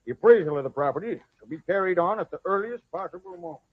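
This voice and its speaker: An actor playing a stern, formal council official reading out a verdict in an old-time radio drama. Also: male voice, sits high, lively intonation, fast, dry recording. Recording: dry, tinny old radio recording.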